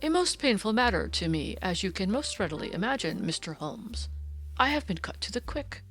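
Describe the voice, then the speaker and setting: A woman voicing a pompous, petulant aristocratic English gentleman, stately and wordy, in an audiobook. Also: mid-range voice, sing-song, moderate pace, soft background music. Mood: wounded, condescending. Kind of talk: audiobook character dialogue.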